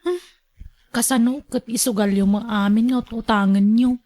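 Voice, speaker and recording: A woman's voice: a little high, steady tone, normal speed, darkish tone, close to an everyday voice. A woman playing a long-suffering younger caretaker of an elderly mother in a radio drama, earnest and reasoning. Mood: concerned reasoning.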